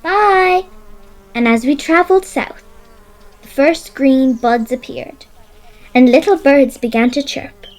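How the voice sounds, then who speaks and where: A woman's voice: high-pitched, slow, long pauses, poor audio. A female actor playing a brave young Irish girl in a children's audio drama, telling of her adventures. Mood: earnest, happy.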